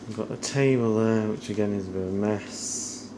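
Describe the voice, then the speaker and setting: A middle-aged man giving a casual, low-key, off-the-cuff house tour as he walks around indoors with a handheld camera. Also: normal speed. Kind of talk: casual off-the-cuff narration while filming. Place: indoors, walking through rooms of a house. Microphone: handheld camera at arm's length. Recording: noisy handheld camera audio.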